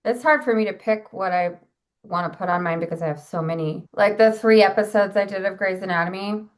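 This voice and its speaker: Condescending actress, played by a woman, mid-pitched, halting and pointed, self-important comedy dialogue. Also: natural voice. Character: self-important actress, condescending toward her fellow actor. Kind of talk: improvised-sounding comic dialogue.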